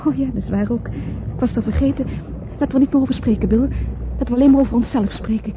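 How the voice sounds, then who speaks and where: Radio play actress: emotional, flirtatious wife, higher female voice, muffled recording with heavy noise. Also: quick pace, natural voice. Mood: impatient, passionate. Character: flirtatious married woman fleeing London, pleading then seductive. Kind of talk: Dutch radio play acting. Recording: dull, noisy old radio play recording.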